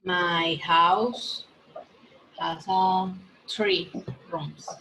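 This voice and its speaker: Young Colombian woman, Spanish accent, careful slow exam answers over background noise. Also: on the low side, fairly even intonation, sharp tone, rough recording. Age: teens. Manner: hesitant learner English. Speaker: exam candidate.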